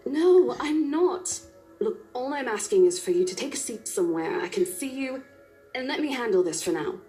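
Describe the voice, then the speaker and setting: A woman's voice: on the high side, expressive, normal speed, warm tone, soft background music, natural voice. A woman voicing a caring, firm young estate agent in a visual novel game, earnest and insistent as she coaxes a coworker. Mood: pleading.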